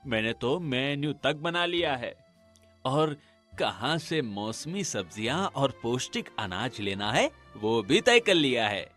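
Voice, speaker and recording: A man's voice: mid-range voice, big pitch swings, slow, a bit bright, faint music behind. A man playing a humble, hopeful poor village husband in a cartoon, speaking earnestly. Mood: determined, humble. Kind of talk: acted Hindi cartoon dialogue.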